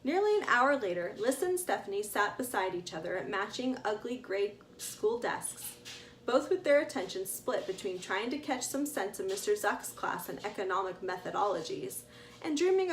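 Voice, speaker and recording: A woman author reading her adventure story aloud as an expressive narrator. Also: mid-range voice, moderate pace, slight background noise.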